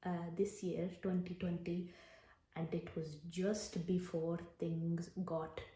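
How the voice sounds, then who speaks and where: A British-born woman whose first language is Punjabi, talking softly in a general Indian accent she puts on for an ASMR video, often slipping into a whisper. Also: fairly low pitch, level tone, takes it slowly. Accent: general Indian, put on for the video. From Britain. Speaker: actor and ASMR creator. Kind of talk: soft-spoken ASMR talk. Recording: roomy echo.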